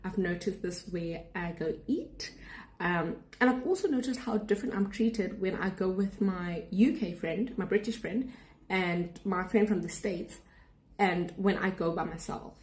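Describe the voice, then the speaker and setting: A woman's voice: on the low side, a little slow, darkish tone. A young South African woman with a South African accent, a student abroad, venting to camera in a sarcastic, indignant vlog rant. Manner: sarcastic, indignant, talks straight to viewers.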